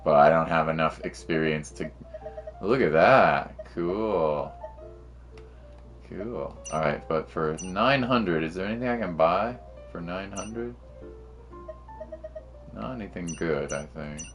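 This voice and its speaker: A man's voice: deep voice, lively intonation, slow pace, long pauses, a bit bright. A man giving casual gameplay commentary to livestream viewers, slangy and musing aloud to himself, with game music playing behind him. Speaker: game streamer.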